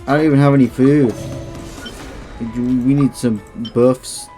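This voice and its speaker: The young guy on a game stream swearing bluntly at a boss fight in slang, mid-pitched, soundtrack clearly playing behind him. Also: steady tone, a little slow. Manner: slangy, foul-mouthed, blunt. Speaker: gamer playing and reacting. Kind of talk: casual gameplay commentary. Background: loud game music.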